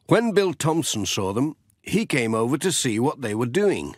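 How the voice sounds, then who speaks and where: A man's voice: mid-range voice, big pitch swings, moderate pace, no room sound, studio quality. A man narrating a children's audiobook story.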